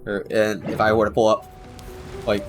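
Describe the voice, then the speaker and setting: A man chatting casually to viewers on a game livestream, rambling and halting as he doubles back on his sentences. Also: lowish voice, monotone. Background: game music.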